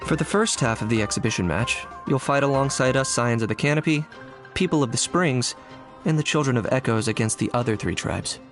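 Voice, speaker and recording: Man playing an easygoing, medium-pitched young warrior. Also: brisk, music in the background, natural voice. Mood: matter-of-fact. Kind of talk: video game voice acting.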